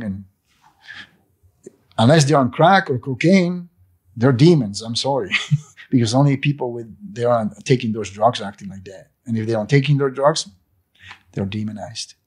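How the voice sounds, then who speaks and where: A man's voice: medium pitch, husky. A man in his sixties whose first language is not English, speaking emphatically and preachily in an interview in a living room into a handheld mic. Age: 60s. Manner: preachy, emphatic, gestures with hands. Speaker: cafe owner interviewed. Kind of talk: impassioned interview monologue. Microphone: handheld fluffy interview mic.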